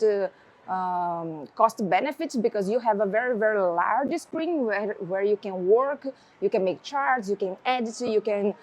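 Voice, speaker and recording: Woman demoing a tablet, Portuguese-native. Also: on the high side. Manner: relaxed, explaining step by step, non-native phrasing. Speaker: tech reviewer. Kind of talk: casual product demo.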